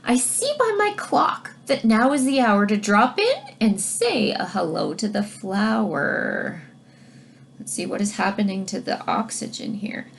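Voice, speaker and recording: A woman reading a children's science book aloud like a teacher, expressively, pausing to explain things directly to young listeners. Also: mid-range voice, animated, vocal fry, a little hiss or hum. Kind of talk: read-aloud storytelling with explanatory asides to children.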